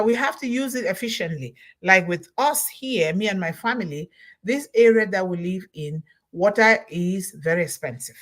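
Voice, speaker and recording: A middle-aged woman from Cameroon, hosting a solo motivational talk, emphatic and repeating her key words. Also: medium pitch. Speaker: host of a motivational talk series.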